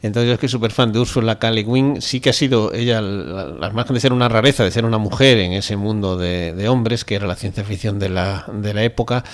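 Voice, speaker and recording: A man's voice: on the low side, a little fast, hardly any pauses. A man with an accent from Spain chatting casually on the radio, enthusiastic and often restarting his phrases. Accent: Spanish from Spain. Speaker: radio co-host or guest commentator.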